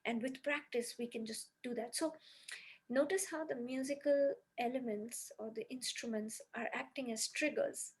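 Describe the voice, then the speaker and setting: A woman's voice: on the high side, moderate pace, husky. A woman on a podcast earnestly explaining her music healing method step by step, with many "you know"s.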